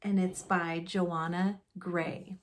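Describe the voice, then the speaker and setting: A woman reading a children's picture book aloud in a deliberate storytelling manner, phrased simply for young listeners. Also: on the low side, little pitch movement, background noise. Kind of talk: children's read-aloud narration.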